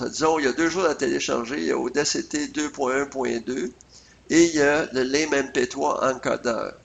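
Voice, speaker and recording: A man's voice: mid-range voice, gravelly, a bit bright. Quebec man giving a tutorial, faint noise behind. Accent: Quebec French. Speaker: software tutorial presenter. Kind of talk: casual screencast tutorial narration. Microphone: USB computer microphone.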